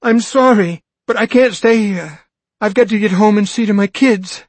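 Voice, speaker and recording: A man voicing a desperate young mother who pleads, high and close to screaming, to be let go home to her children. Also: moderate pace, no echo. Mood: pleading.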